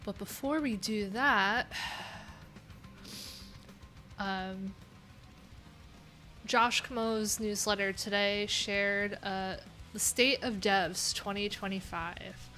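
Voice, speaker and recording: A woman's voice: medium pitch, fairly even intonation, slow pace, long pauses, sharp tone. A young adult woman hosting a coding livestream, talking casually and rambling with lots of fillers, with faint music in the background. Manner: chatty, rambling, many "like"s and "um"s.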